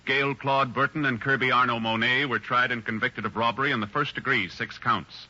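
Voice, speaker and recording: A man acting as a stern, official radio announcer, reading measured scripted narration in 1950s style. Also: mid-range voice, steady tone, bright, forceful tone. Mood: formal. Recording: dull 1950s radio recording.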